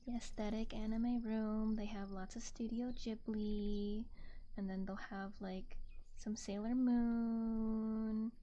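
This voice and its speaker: A woman streamer chatting casually in a gentle, soft-spoken ASMR style, rambling and hesitant, close to a binaural microphone. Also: fairly high pitch, flat delivery, slow, muffled recording, slight background noise.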